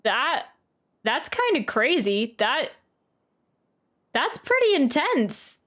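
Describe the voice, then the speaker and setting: A woman's voice: high-pitched, big pitch swings, takes it slowly, fairly bright tone. A woman doing casual live commentary as she plays a game, exclaiming and repeating short phrases as she reacts. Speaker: game streamer reacting to a playthrough. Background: game music.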